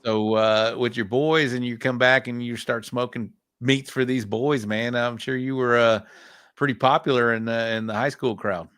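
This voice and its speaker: A man's voice: mid-range voice, fairly even intonation, moderate pace, fairly bright tone, clean tone. Middle-aged host dude, keeps saying man and bro, dry sound with no room echo. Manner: friendly, says "man" and "bro" a lot. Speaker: podcast host. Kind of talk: casual podcast interview.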